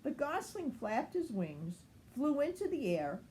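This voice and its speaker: An older woman reads a children's storybook aloud as a grandmother would. Her voice is fairly high and very expressive, and she takes her time. Faint noise sits behind her. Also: unhurried, poor audio.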